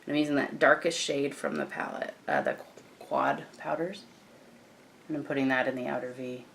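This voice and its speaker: A young woman with a croaky morning voice, chatting casually as she walks through a makeup tutorial. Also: extremely low pitch, moderate pace. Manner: chatty, relaxed, frequent fillers and restarts. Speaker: beauty vlogger.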